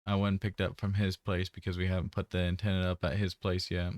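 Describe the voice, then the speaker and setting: A man's voice: sits low, monotone, quick pace, darkish tone, dry recording, studio quality. A man giving a casual personal update, rambling and hesitant, often trailing off mid-thought. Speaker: vlogger.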